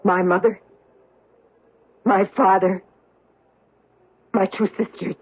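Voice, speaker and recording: Vengeful, husky-voiced woman in radio drama. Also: medium pitch, steady tone. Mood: accusing, stern. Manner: dramatic, deliberate, accusing. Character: vengeful woman survivor holding her enemy at gunpoint. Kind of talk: old-time radio drama acting. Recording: muffled vintage radio recording.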